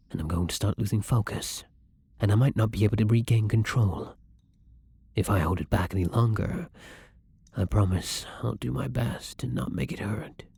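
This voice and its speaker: Kind, hungry fledgling vampire played by a man for ASMR roleplay: low, gravelly, husky, soft and hesitant. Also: no echo, exaggerated voice. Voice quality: husky, breathy, creaky low voice. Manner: hesitant, soft-spoken, halting. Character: newly turned male vampire, kind, apologetic, hungry. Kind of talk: roleplay ASMR, intimate spoken to listener.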